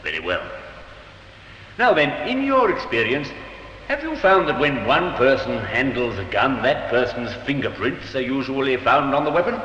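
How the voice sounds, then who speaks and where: Sharp, forceful defense barrister. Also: male voice, medium pitch, very animated, normal speed. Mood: probing. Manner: probing, theatrical cross-examination. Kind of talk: 1950s radio drama acting. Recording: echoey, muffled, faintly noisy old radio.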